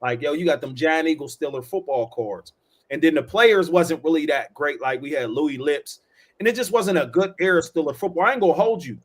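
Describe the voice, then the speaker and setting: A man's voice: on the high side, fairly quick. A man in his forties with a Pittsburgh accent, telling loose, slangy stories on a casual podcast and tossing in "bro" and "yo". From Pittsburgh, USA. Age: 40s. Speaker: podcast host. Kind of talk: casual chat.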